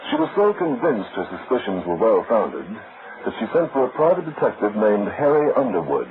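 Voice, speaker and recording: A man's voice: fairly low pitch, very animated, brisk. A man narrating a radio crime drama in a grave, old-fashioned scripted style that builds suspense. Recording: muffled, noisy vintage radio recording.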